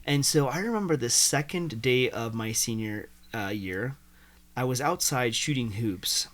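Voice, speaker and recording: A young man from India, a guest telling his life story in a casual interview, animated and full of "like". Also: mid-range voice, unhurried. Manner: animated, uses "like" often.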